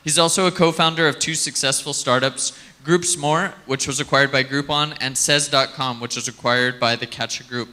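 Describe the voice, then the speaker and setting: A man's voice: medium pitch, fairly even intonation, moderate pace, pushed and bright. Chatty man hosting a conference stage. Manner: chatty, banters with the audience. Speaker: conference emcee. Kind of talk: live stage hosting, casual and joking. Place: conference stage before an audience. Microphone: handheld stage mic.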